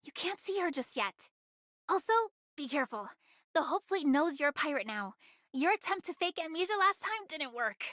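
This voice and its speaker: A woman's voice: sits high, very animated, normal speed, fairly bright tone, dry recording, clean studio sound. A woman voicing a bossy, sly young woman in an anime game, commanding and teasing in manner. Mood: brisk, urgent.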